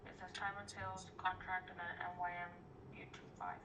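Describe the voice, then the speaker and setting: A woman's voice: fairly low pitch, completely flat delivery, brisk, noisy background. A woman learning a trading setup, giving short, hesitant answers and questions over a call. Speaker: student. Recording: distant muffled call audio.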